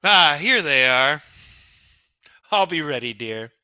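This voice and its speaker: A man's voice: fairly high pitch, expressive, takes it slowly, bright, forceful tone, smooth, no room sound, muffled recording, full character voice. A man playing a timid, bookish middle-aged husband in a live radio drama reading, hesitant and pleading. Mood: meek. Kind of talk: live reading of an old-time radio script.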